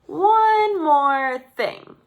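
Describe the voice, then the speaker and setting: A woman voicing a smooth-talking, flattering turkey con artist in a children's storybook. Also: sits high, very slow, phone-quality sound, voice pushed up, heavily acted. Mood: flattering, persuasive.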